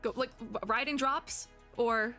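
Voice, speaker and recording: Young streamer girl rambling casually, thinking out loud, music playing behind her. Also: fairly high pitch, steady tone, slow pace. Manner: rambling, thinking aloud, slangy. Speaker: streamer reacting to game previews. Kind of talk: casual chatty reaction commentary. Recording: music bed under the voice. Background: background music.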